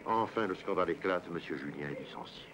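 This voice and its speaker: A man's voice: low-pitched, quick pace, background noise. A man reading a scripted documentary narration in terse, clipped phrases. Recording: dull vintage broadcast sound.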